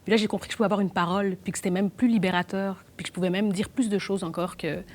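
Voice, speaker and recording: A young adult woman from Belgium, talking fast with many restarts in a documentary interview. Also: mid-range voice, fairly even intonation, a little mellow. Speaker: actress and playwright.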